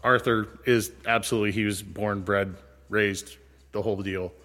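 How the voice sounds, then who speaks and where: Guy with a lowish voice, rambling and backtracking, casual chat, really reverby room. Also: fairly even intonation, a bit bright. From San Francisco Bay Area, then Minnesota. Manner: halting, self-correcting, rambling. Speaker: co-creator and actor of a fictional small-town show. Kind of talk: casual unscripted backstory discussion. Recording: echoey room with long reverb.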